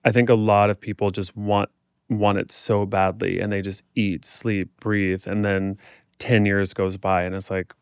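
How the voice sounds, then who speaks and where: An American man with a smooth, clear voice on the low side, recorded dry and close. He speaks reflectively as a podcast guest, in a casual, candid way with plenty of "like" and "you know.". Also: level tone, unhurried, no echo. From United States, Kansas City area. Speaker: podcast guest, performer and fitness instructor. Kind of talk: reflective, candid interview conversation.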